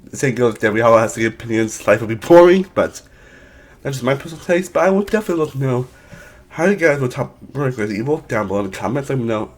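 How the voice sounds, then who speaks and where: A young man talks through his game rankings in a loose, rambling way, restarting sentences and filling gaps as he goes. His voice sounds a little off from allergies, and he sits at a middle pitch for a man. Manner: rambling, with frequent restarts and fillers. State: voice a bit off from allergies. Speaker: video game reviewer, channel host. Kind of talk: casual ranking video talk.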